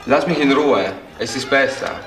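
An actor playing a hot-headed but apologetic Italian worker in a crime radio drama, agitated and halting. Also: male voice, medium pitch, close to an everyday voice. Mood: agitated, contrite. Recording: echoey, music behind the voice.

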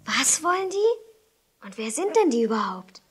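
A woman playing a bold, inquisitive young girl in a German audio drama, brisk and outspoken. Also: high-pitched, very animated. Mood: hushed curiosity. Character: bold, curious young girl helping in pizzeria.

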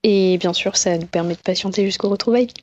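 A woman in her twenties giving a personal testimonial in a calm, poised, measured way. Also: medium pitch, lo-fi sound, slight background noise. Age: 20s.

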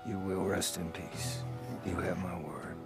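An actor playing a menacing, fanatical cult leader in a video game, speaking slowly and ominously. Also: male voice, deep voice, steady tone, music in the background. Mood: cold, quiet. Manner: slow, deliberate, ominous. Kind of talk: dramatic video game cutscene acting.

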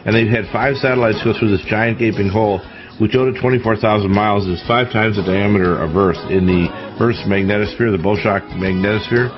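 A man's voice: lowish voice, fairly even intonation, brisk, music in the background. A man hosting a talk radio panel, lecturing emphatically and warning of disasters. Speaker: radio talk show host. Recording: dull lo-fi radio broadcast with faint hiss.

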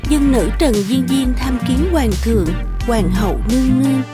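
A woman voicing a demure young courtesan musician in an animated fairy tale, deferential and soft in manner. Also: high-pitched, normal speed, close to an everyday voice. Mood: humble. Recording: music behind the voice.

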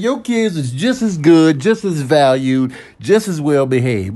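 A man's voice: a little high, a little mellow. A man giving casual, slangy comedic commentary as a parent, telling stories with mock indignation.

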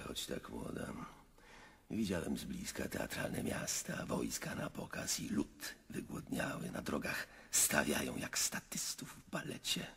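An actor playing a scornful, sceptical visitor at an imperial court in a radio drama, biting and disdainful. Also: male voice, very deep voice, gravelly, a bit bright, full character voice. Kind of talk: theatrical radio drama acting.

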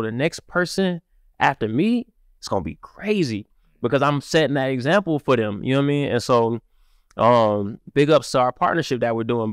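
A man from Cleveland, raised partly in Mississippi, talking casually as a music-marketer guest on a podcast, with lots of fillers, into a studio boom mic. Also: clear, resonant tone, no room sound. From Cleveland, Ohio, raised partly in Mississippi. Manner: animated, repetitive fillers. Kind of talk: casual interview talk. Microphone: studio mic on boom arm.

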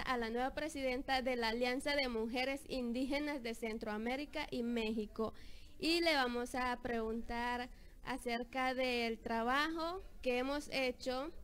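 A young woman with a Nicaraguan accent presenting interviews on camera into a handheld microphone. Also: high-pitched. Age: young adult. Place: outdoor patio at night.

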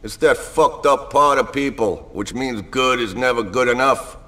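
An actor playing a wistful, philosophical outlaw biker in a video game cutscene, reflective and resigned. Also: male voice, medium pitch, moderate pace, sharp tone, natural voice.

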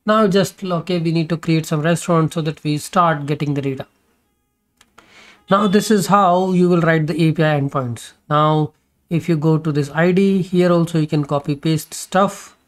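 A man's voice: on the high side, moderate pace. A man explaining code step by step in a screen-recorded programming tutorial, with many fillers and restarts as he goes.

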